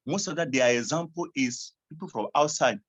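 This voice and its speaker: A man's voice: a little high, moderate pace, fairly bright tone. A French-speaking African man, a certified coach, talking hesitantly on a video call with a French accent. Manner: hesitant, with fillers and restarts.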